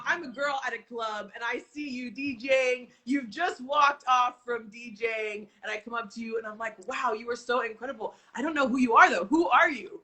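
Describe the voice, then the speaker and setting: A woman's voice: fairly high pitch, bright, forceful tone. A woman hosting an interview, talking in a bubbly, effusive way and laughing often. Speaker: host. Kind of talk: casual interview chat.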